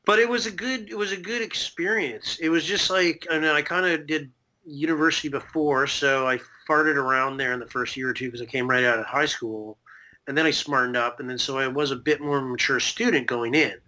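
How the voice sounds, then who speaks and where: Casting note: thirties male guest, somewhat high voice, loose rambling delivery, swears casually. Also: steady tone, a little fast, bright, forceful tone, low-quality recording. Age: 30s. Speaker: podcast guest. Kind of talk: casual chat.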